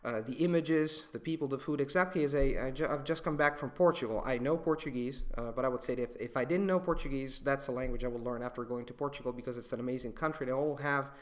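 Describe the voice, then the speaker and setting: A young Italian man answering viewer questions in a casual monologue, pausing and restarting often as he thinks aloud. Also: medium pitch. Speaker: language learning video host.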